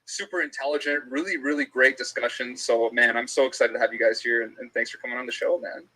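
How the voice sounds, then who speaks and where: A man's voice: medium pitch, steady tone, quick pace, pushed and bright, poor audio. A man hosting a casual interview and chatting with his guests. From Toronto area, Canada. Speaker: interviewing YouTube host.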